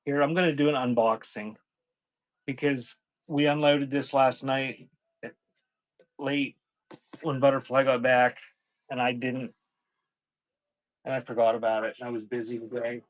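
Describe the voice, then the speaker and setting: A man's voice: mid-range voice, flat delivery, unhurried, long pauses, no echo, poor audio. Rambling man chatting casually on a live stream. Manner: rambling, scattered, thinking out loud. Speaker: live-stream seller hosting a sale and unboxing.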